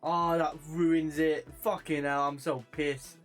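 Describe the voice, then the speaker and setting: A man giving casual gaming commentary as a streamer, loud, blunt and sweary. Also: a little high, level tone, slow pace, faint music behind.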